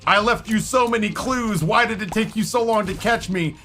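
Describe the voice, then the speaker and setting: A man voicing a smug, freshly caught serial killer who mocks the police, with a hoarse voice. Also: sits high, normal speed, sharp tone, soft background music, pitched above the performer's own voice. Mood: gloating. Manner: gloating, mocking.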